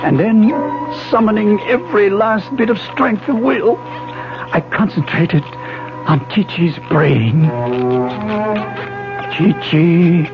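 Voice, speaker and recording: An actor playing a wounded, dying man narrating his ordeal in a 1970s radio drama, deliberate, intense and suspenseful. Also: sits high, vocal fry, full character voice. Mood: weary, hushed suspense. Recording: dull 1970s radio broadcast, music behind.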